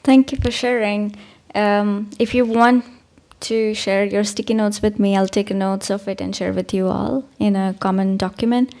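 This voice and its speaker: A woman facilitating a workshop discussion, organizing the session in a friendly, slightly halting way. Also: mid-range voice, steady tone, warm tone.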